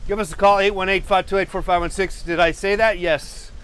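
Rambling high-voiced man streaming from his studio. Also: steady tone, fairly quick, fairly bright tone, smooth. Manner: meandering, self-deprecating, with frequent fillers and restarts; walking on a treadmill while talking. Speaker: host of a live painting stream. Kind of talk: rambling live-stream chat. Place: cluttered indoor art studio.

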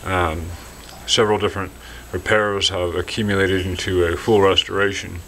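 A man giving a casual, halting product demonstration from behind a hand-held camera indoors, as if selling something. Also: sits low, little pitch movement, fairly bright tone, slight background noise, poor audio. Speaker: seller demonstrating a restored vintage radio signal tracer. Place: dim indoor room, speaking from behind the camera.

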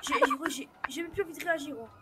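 Young gamer, very high voice, stammering trash talk over noisy game chat. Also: fast. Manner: stammering, repeating words. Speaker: player in an online roleplay game.

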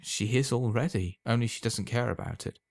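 A man's voice: medium pitch, a little fast, vocal fry. A man voicing a naive, lovesick young customer in a storybook reading, eager and earnest. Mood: rapturous.

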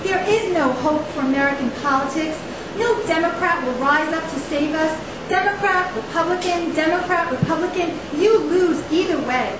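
A woman in her thirties giving a dramatic poetry reading, with emphatic, deliberate phrasing and rhetorical repetition. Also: very high voice. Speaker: poet reading own work. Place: small indoor poetry venue on stage. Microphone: stand microphone, picked up by camera. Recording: echoey live room, distant camera, faint hiss.

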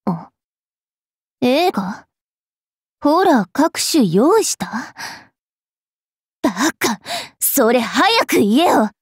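A woman playing a haughty, cruel young fairy priestess in an anime game, sneering and taunting with a rough mouth. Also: sits high, sing-song, drawn-out words, pauses often, dry recording, clean studio sound, full character voice. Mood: menacing scorn, then flustered excitement.

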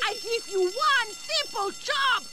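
Casting: ruthless woman bandit, very high swooping voice, bossy video game villain. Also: expressive, slow, music in the background, heavily acted. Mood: bossy, furious. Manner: bossy, shrill, swearing. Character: hot-tempered ruthless female bank robber bossing her partner. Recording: game audio with loud gunfire and clatter.

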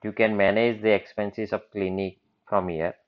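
A man giving a plain, matter-of-fact walkthrough of software over a screen recording. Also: fairly low pitch, level tone, normal speed, clean tone, lo-fi sound. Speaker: software demonstrator.

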